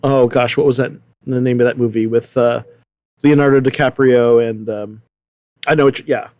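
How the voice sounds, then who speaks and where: A middle-aged man in a casual panel discussion, rambling and halting as he often repeats his words. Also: medium pitch, steady tone, a little mellow, dry recording.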